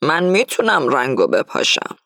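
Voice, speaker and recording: A woman's voice: sits low, very animated, gravelly, a bit bright, dry recording, clean studio sound, exaggerated voice. A woman reading the lines of an older man who eagerly volunteers for the job. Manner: eager, offering to help.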